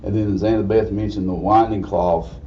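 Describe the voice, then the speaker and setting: Low raspy man, distant and muffled. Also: steady tone, brisk, mellow. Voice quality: slightly raspy. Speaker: guest lecturer. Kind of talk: informal history lecture. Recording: distant mic, dull muffled sound, faint noise.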